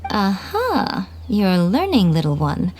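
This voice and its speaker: An actress playing a sly, haughty fox shrine maiden in an anime video game, teasing and wry in a theatrical way. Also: female voice, medium pitch, huge pitch swings, takes it slowly, heavy background noise. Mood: sly, explanatory. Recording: game music over the dialogue.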